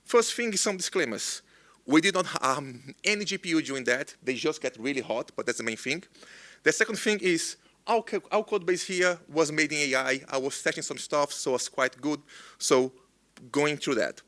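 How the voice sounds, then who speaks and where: Young Brazilian-accented male conference speaker, a bit high-pitched, forceful, eager and loose in his phrasing. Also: expressive, moderate pace. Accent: Brazilian Portuguese. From northeast Brazil. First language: Portuguese. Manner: enthusiastic, loose phrasing with frequent restarts. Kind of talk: technical conference talk.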